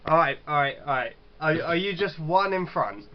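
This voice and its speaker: A man casually hosting a let's-play, chatting and reading game text aloud in a halting, stumbling way. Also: a little high, animated. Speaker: gaming channel host. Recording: dull lo-fi mic with clear background noise.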